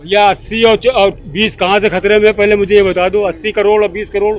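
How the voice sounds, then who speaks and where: A man's voice: sits high, little pitch movement, brisk. An older man in a street interview, challenging with rhetorical questions. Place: outdoors on a city street. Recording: outdoor street with traffic behind.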